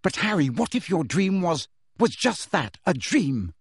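A man's voice: sits high, very animated, a little slow, husky, dry recording, clean studio sound, voice pushed up, heavily acted. A man voicing an earnest, bossy teenage witch girl in an audiobook, with an urgent, anxious manner. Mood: fierce, worried. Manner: urgent, pleading, fierce. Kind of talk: audiobook character voice.

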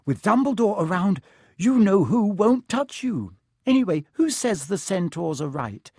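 A man's voice: high voice, sing-song, unhurried, darkish tone, husky, no room sound, clean studio sound, pitched above the performer's own voice, heavily acted. A man voicing an earnest, anxious, bookish young schoolgirl witch in an audiobook. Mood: worried, reassuring. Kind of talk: audiobook character voice.

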